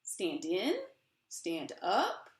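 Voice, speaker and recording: A middle-aged American woman reading a picture book aloud as its author, with measured, dramatic phrasing. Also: on the low side, sing-song. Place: small room indoors at home. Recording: roomy indoor echo.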